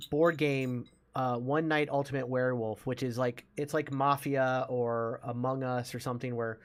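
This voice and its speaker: A man chatting casually as a podcast host about films, explaining things with enthusiasm. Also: medium pitch. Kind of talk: casual movie discussion.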